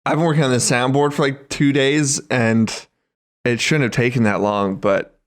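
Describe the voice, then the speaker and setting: A man's voice: mid-range voice, fairly even intonation, normal speed. A man co-hosting a podcast, chatting casually with a friend in a wry, easygoing and profane way. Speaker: podcast co-host. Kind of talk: casual comedic chat between friends.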